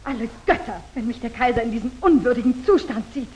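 A woman's voice: a little high, expressive, quick pace, a little mellow, natural voice. A woman playing a haughty, agitated lady of ancient times in a melodramatic 1950s radio play, on an old noisy recording. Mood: imperious, outraged. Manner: melodramatic, exclaiming.

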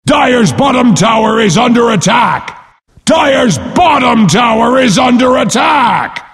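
Boastful warrior brute, game announcer, gravelly, swooping pitch, pompous, bombastic, battle-hungry. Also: male voice, very animated, slow, fairly bright tone, shouting, full character voice. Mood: alarmed bellowing. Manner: bellowing, pompous, speaks of himself in third person. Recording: long booming reverb.

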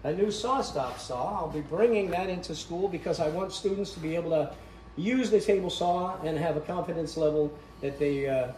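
A man's voice: a little high, normal speed, darkish tone, rough recording. An older man, the owner of a woodworking school, chatting casually and explaining things with small jokes as he walks through a large indoor shop, recorded on a camera held by the interviewer. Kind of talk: casual shop tour talk.

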